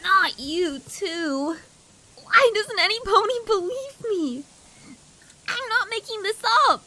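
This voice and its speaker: A woman's voice: very high-pitched, expressive, slow, pushed and bright, full character voice, low-quality recording. A woman voicing a frightened, frantic young filly in an acted cartoon fan fiction, with whimpering, pleading delivery. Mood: tearful, hurt.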